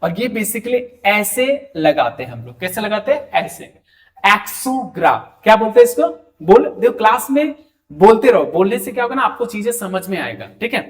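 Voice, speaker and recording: A man's voice: high-pitched, big pitch swings, normal speed. A man with an Indian accent giving a French lesson to students. He keeps asking them questions and has them repeat words back to him. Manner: talks to students directly and asks them to repeat after him. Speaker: French teacher. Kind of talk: classroom lecture.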